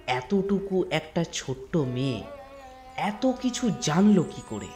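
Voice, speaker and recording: A man narrating a scripted ghost story in a dramatic storytelling manner with drawn-out pauses. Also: a little high, very animated. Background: soft instrumental music.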